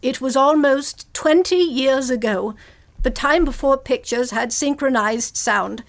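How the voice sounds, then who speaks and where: A woman's voice: sits high, measured pace. A woman playing a genteel, eccentric older stage actress in an old-time radio play, theatrical and florid. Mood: storytelling. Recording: live stage show with music underneath.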